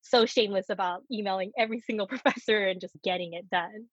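Candid woman with a slightly husky voice. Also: mid-range voice, quick pace, no room sound, lo-fi sound. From United States. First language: Korean. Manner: candid, laughs often while telling stories. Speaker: startup co-founder guest. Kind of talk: casual interview conversation.

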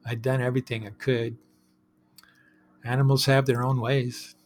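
A man with a middle-pitched voice delivers a personal storytelling monologue as the host of his own show. He talks conversationally and reflectively, with a wry edge to his phrasing. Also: flat delivery, moderate pace, slight background noise.

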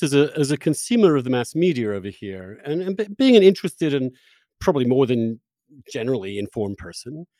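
An American man with a medium-pitched, highly animated voice hosts a casual political conversation. He is discursive and opinionated, searching for phrasing as he goes, in a very dry recording. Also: dry recording. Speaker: interviewer, host.

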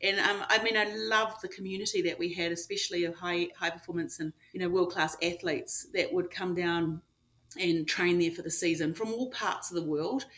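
Woman, middle-aged, New Zealand accent, slightly low pitch, rambling casual chat, lots of ums. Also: fairly even intonation, fairly bright tone. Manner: chatty, rambling, pauses with um and you know. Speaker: ski resort general manager. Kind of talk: casual interview answers.

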